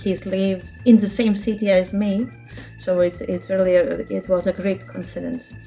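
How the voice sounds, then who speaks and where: Woman, 30s, Ukrainian accent, native Russian and Ukrainian speaker, halting speech, frequent laughter, casual interview, faint background music. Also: mid-range voice, level tone, gravelly, dark tone. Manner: halting, searching for words, laughs often. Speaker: interview guest, children's book translator.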